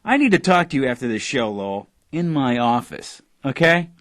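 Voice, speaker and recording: An actor playing a pushy, sardonic boss of a struggling internet radio company in an audio drama. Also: male voice, medium pitch, sing-song, normal speed, a bit bright. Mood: dryly sarcastic.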